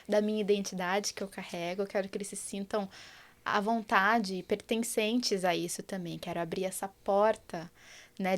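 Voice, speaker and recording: A Brazilian woman in her thirties, speaking with a Brazilian Portuguese accent in an earnest, reflective way during a casual podcast chat. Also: medium pitch. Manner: earnest and reflective, many tag questions. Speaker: guest, project founder.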